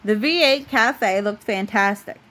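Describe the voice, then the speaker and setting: A woman's voice: mid-range voice, measured pace, a bit bright. A woman reading a children's storybook aloud as bedtime narration, as a parent would.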